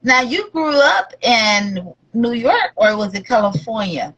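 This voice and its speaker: A woman's voice: fairly high pitch, sing-song, measured pace, fairly bright tone, breathy edge. A woman hosting a podcast, asking chatty questions in a casual interview and reacting often with "wow". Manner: chatty, asks questions with "wow" and "you know". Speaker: podcast host. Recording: dull lo-fi audio.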